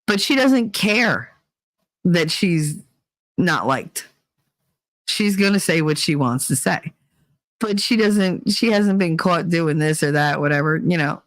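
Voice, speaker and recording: A woman's voice: lowish voice, lively intonation, takes it slowly, no echo. A woman hosting a vlog, giving blunt, rambling opinions with plenty of swearing. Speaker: vlog host. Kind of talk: casual opinion monologue.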